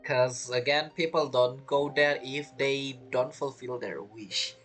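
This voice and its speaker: Female game streamer: low voice, animated intonation, casual reactions, game music behind. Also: takes it slowly. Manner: reacts aloud, thinks out loud. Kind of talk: casual gameplay commentary.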